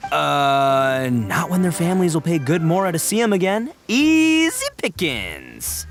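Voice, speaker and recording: A man's voice: fairly high pitch, wildly swooping pitch, a little slow, soft background music, exaggerated voice. A man playing a brash, big-hearted young oni gang boss in a video game, blustering and theatrical.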